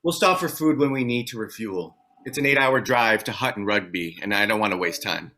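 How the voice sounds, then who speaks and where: A man's voice: on the low side, fairly quick, sharp tone, a little hiss or hum. A man playing an earnest oil rig worker and family man nearing forty, in a table read of a drama script. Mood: easygoing, firm.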